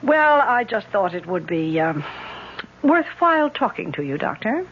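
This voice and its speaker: A woman's voice: medium pitch, big pitch swings, unhurried. Proud, skeptical woman, muffled recording. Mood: guarded, probing. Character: proud, skeptical, embittered woman with paralyzed hands. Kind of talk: old-time radio drama acting. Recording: dull old radio recording with hiss.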